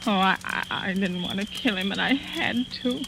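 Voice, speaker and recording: A troubled older aunt from a vintage radio thriller. She speaks haltingly and tremulously at a middling pitch, with plenty of noise on the old recording. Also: lively intonation, vocal fry. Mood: anguished confession. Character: distraught aging aunt guarding a dark family secret. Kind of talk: 1940s radio suspense acting.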